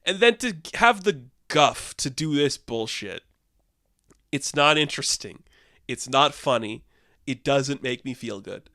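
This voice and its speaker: A man's voice: mid-range voice, lively intonation, a little slow, bright, forceful tone, no room sound, clean studio sound. A young man giving a casual, rambling film review, sarcastic and exasperated, with frequent filler words.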